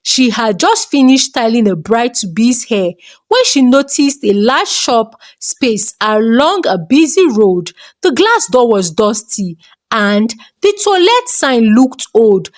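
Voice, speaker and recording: A woman's voice: fairly high pitch, big pitch swings, slow pace. A woman narrating a scripted folktale as a storyteller.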